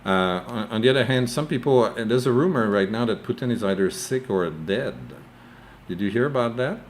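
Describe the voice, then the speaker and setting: A man's voice: medium pitch, brisk, a little hiss or hum. A man co-hosting a casual chat over a video call, hesitant and tentative, restarting his sentences often. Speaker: co-host.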